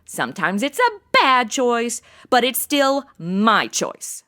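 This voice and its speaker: A woman's voice: fairly high pitch, wildly swooping pitch, slow pace, heavy background noise, heavily acted. An actress playing a brash, flippant, clueless young modern party woman with slangy talk in a comedic audio drama. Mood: breezy.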